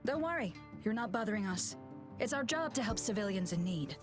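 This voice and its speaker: A woman playing a brisk, bossy patrol captain in a video game, speaking with authority. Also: mid-range voice, expressive, close to an everyday voice. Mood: brisk, polite. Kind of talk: scripted video game voice acting. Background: game music.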